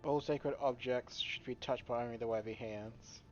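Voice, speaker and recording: A man's voice: mid-range voice, steady tone, normal speed, faint music behind. A man hosting a let's play, commenting casually and reading dialogue options aloud. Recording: slightly dull mic with faint noise.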